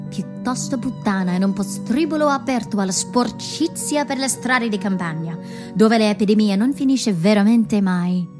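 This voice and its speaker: A woman playing a proud, defiant, weary prostitute in a radio drama monologue, speaking solemnly and emphatically. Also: a little high. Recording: music under the voice.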